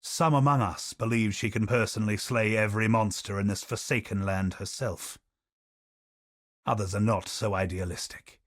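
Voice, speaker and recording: A man voicing a game character who recounts a dark war tale in slow, grave storytelling. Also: on the low side, steady tone, dry recording, studio quality, lightly acted. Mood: somber. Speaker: game character narrating lore. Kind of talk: video game voice lines, lore recounting.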